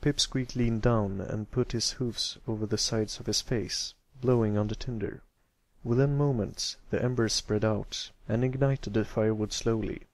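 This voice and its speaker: A man's voice: on the low side, fairly even intonation, normal speed, no echo. A man giving a scripted narration of a fan fiction story as an amateur reader.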